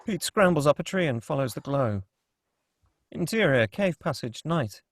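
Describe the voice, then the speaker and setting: A man's voice: a little high, animated, moderate pace, dry recording, clean studio sound. A man reading scripted stage directions as the narrator of a screenplay table read.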